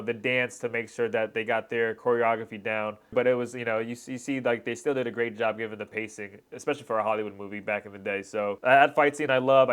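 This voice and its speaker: A man's voice: medium pitch, clean tone. A man hosting a reaction video, giving casual, slangy fan commentary on fight scenes. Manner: informal slangy fan talk.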